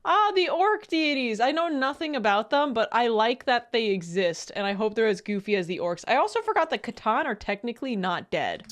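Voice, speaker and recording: A woman streamer reacting to a video in casual, joking commentary, excitable and swearing freely. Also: fairly high pitch, a little fast, few pauses.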